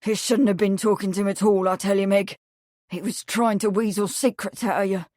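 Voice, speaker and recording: A woman voicing a fierce, furious young footman in an audiobook, terse and commanding, keeping his voice low. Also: steady tone, fairly quick, a little mellow, no echo, clean studio sound, natural voice.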